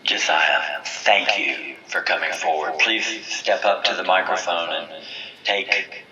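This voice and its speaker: An actor playing a stern, solemn village leader giving measured, commanding oratory in an audio drama. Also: male voice, low voice, bright, forceful tone, reverberant, distant mic, muffled recording, slight background noise, heavily acted. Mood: stern, coaxing. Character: stern, solemn village leader presiding over a memorial and judgment. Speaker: community leader. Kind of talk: formal speech in an audio drama.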